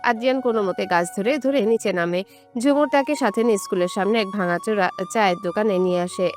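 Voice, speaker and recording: A woman's voice: medium pitch, fast talker, darkish tone, faint music behind. A woman reading a scripted romantic story in a dramatic narrating style.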